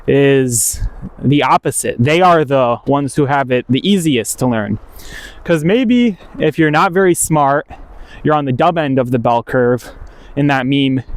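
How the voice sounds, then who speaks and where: A man's voice: fairly high pitch, dry recording. A man who is a native English speaker, talking casually and off the cuff to viewers as a language-learning video creator, correcting himself as he goes.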